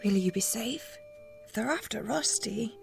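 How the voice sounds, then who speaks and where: A woman voicing an anxious, caring young woman who talks in a breathy, hushed, worried way. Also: on the high side, animated, a little slow, soft background music, exaggerated voice.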